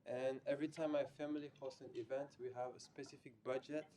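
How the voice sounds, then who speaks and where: A man's voice: medium pitch, flat delivery, quick pace, very noisy. A man from Senegal, a student government director, speaking bluntly and informally in an off-the-cuff floor debate, with casual fillers. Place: student senate meeting room.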